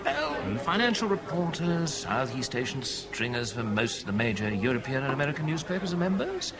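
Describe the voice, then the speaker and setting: A man's voice: mid-range voice, very animated, brisk, close to an everyday voice. An actor playing a worldly, urbane man genially in command in a 1970s British radio drama, suave and teasing. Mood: knowing, suave. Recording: busy club crowd behind.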